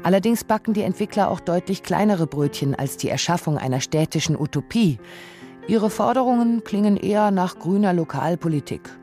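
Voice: medium pitch, lively intonation, fairly quick, warm tone, faint music behind, close to an everyday voice. A narrator with reading a scripted, essayistic radio feature in a pointed, literary manner.

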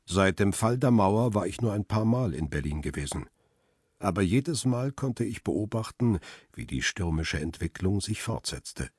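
An actor playing a brooding, middle-aged paparazzo who narrates his own story in a measured, intimate way in a radio drama. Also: low-pitched, husky, dry recording, clean studio sound. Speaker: narrator and lead character. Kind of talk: radio drama, literary first-person narration.

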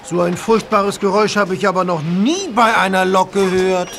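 A man's voice: high voice, moderate pace, creaky, a bit bright, soft background music, voice pushed up. A man voicing a friendly male harbor steam engine who speaks in a kindly, astonished way.

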